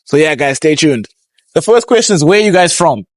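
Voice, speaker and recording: A man's voice: fairly high pitch, expressive, fairly quick, no room sound, studio quality. A man hosting casual street interviews outdoors, chatty and laughing often. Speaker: host.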